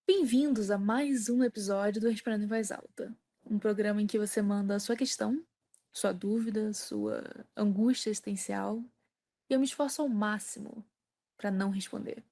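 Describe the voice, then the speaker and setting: Brazilian female podcast host, scripted comic monologue, ironic and deadpan, with plenty of vocal fry. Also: medium pitch, normal speed.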